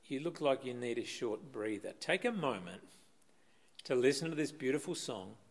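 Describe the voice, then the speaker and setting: Animated older male preacher. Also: mid-range voice. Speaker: pastor. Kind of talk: scripted online sermon.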